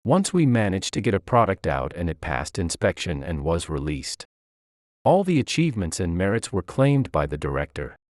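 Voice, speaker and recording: A man's voice: low-pitched, very animated, moderate pace, darkish tone, no echo, clean studio sound. A man reading a scripted first-person story as its narrator.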